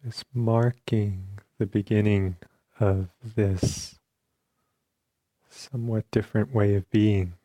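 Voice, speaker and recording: A man teaching meditation, giving calm, unhurried guided instructions in short phrases with long pauses between them. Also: on the low side, no room sound.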